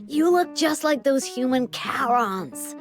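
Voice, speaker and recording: A woman's voice: sits high, animated, takes it slowly, full character voice. A woman voicing a cheerful, well-traveled little fantasy creature in a video game, chatty and exclamatory. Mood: chatty, knowing. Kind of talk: video game character acting. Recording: music behind the voice.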